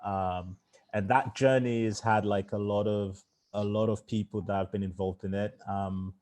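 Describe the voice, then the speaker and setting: A man who grew up in England, a startup founder speaking casually in a fireside chat, with many fillers. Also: moderate pace. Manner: conversational, lots of fillers, low flat voice.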